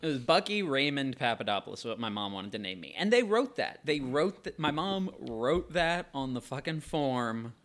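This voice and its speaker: A man's voice: medium pitch, sing-song, normal speed, few pauses, fairly bright tone, slight background noise. A man in his late twenties from Florida, a podcast co-host telling a story in casual chat and swearing freely. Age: late 20s.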